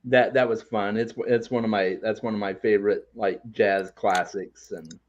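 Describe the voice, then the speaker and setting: A man's voice: on the low side, steady tone, moderate pace. Hesitant man in his forties, dull mic. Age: 40s. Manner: friendly, hesitant, repeats words. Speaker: podcast host. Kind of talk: casual group chat.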